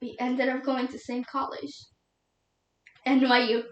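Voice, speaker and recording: High-voiced grieving young widow, acted by a woman. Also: flat delivery, highs cut off, natural voice. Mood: wistful reminiscing. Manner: halting, emotional, pleading. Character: grieving young widow, tearful and doubting God. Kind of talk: solo dramatic interpretation monologue. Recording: echoey room.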